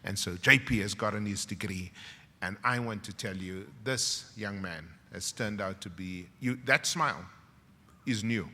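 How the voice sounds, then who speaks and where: A middle-aged man with a South African English accent giving a graduation speech as a university professor, a dramatic storyteller who swings from loud, wry punchlines to hushed, emotional moments. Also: fairly low pitch, unhurried, slight background noise. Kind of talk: ceremonial speech with personal stories. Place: large graduation hall. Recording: long hall reverb from a ceremony PA.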